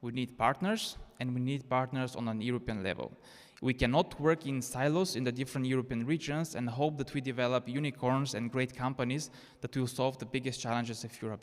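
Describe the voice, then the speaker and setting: Man keynoting in an echoey hall. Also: mid-range voice. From Bulgaria. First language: Bulgarian. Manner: earnest, persuasive, with repeated restarts. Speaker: keynote speaker. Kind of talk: conference presentation. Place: conference hall. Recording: reverberant conference hall.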